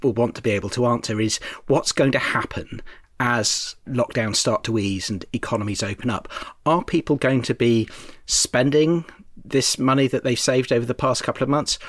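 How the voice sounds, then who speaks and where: A man with a mid-pitched voice in a very dry recording hosts an interview. He asks probing questions and seeks clarification as he goes. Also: fairly bright tone, dry recording. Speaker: podcast host, interviewer.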